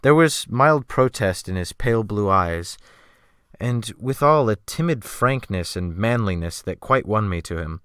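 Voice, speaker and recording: A man's voice: fairly low pitch, expressive, measured pace, a bit bright, clean tone, no room sound. A man reading a dramatic first-person audiobook narration, close to the microphone. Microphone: close USB desktop microphone.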